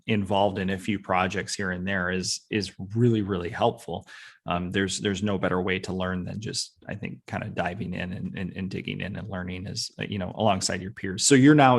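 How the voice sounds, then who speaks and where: A man's voice: low voice, vocal fry. A man hosting a podcast, asking long, rambling questions full of repeated words. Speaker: podcast host, company founder. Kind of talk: podcast interviewing.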